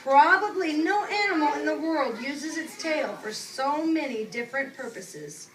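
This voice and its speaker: A woman preschool teacher reading aloud to young children, using simple instructive phrasing, repeating key words and pausing for questions and asides. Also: sits high, expressive. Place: indoor classroom with young children. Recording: echoey room, distant mic, noisy background.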